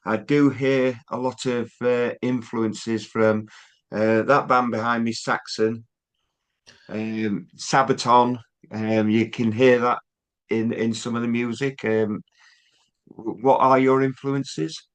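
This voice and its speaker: A man's voice: mid-range voice, little pitch movement, slow pace, smooth. Man hosting a casual interview. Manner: friendly, hesitant with "um". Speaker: host.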